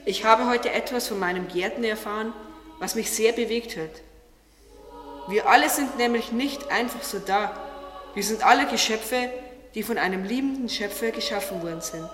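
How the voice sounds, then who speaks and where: A woman's voice: fairly high pitch, moderate pace, fairly bright tone, lightly acted. A woman playing a proud, curious talking garden tree in an audio drama. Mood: moved, eager. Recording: echoey, long reverb, distant, under music. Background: music.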